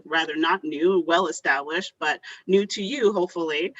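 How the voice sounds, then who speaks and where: A woman's voice: sits low, fairly bright tone, no room sound, dull audio. A woman hosting an online theatre show, giving a welcome speech. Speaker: host, arts organization director.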